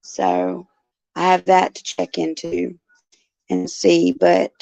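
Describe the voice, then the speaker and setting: A woman hosting her show with a raspy, gruff voice, chatting in a folksy way and answering viewers by name from a care facility. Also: lowish voice, very animated, slow pace, warm tone, no echo. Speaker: show host. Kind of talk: casual chat, answering viewers. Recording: dull lo-fi, dry sound.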